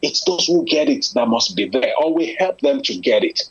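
A man in conversation, speaking in an earnest, measured, persuasive way. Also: mid-range voice, lively intonation, husky. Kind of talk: conversational discussion. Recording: lo-fi muffled audio.

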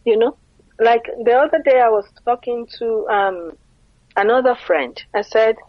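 A woman's voice: a little high, a little slow, no room sound, muffled recording. A middle-aged Nigerian woman with a Nigerian accent, speaking earnestly as an interview guest and often asking rhetorical questions. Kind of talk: conversational interview.

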